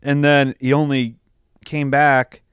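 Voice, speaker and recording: Dude cohosting a film podcast, mid voice, real clear, blunt and choppy, bit sweary, dry close audio. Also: male voice, medium pitch, little pitch movement, slow pace, dry recording. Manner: blunt, profane, argues in repeated broken starts. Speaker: podcast co-host. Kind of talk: casual film review chat.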